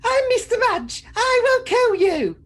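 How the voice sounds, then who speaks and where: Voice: very high-pitched, big pitch swings, measured pace, pitched above the performer's own voice, full character voice. A performer voicing silly squabbling sock puppets in a radio comedy, with exaggerated, childish, wailing voices. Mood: whiny, menacing. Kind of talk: comic puppet show within radio play.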